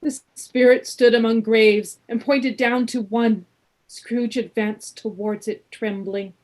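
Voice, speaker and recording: A woman narrating a ghost tale in a radio play, with measured, dramatic storytelling. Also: a little high, steady tone, a little mellow. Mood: grave, suspenseful.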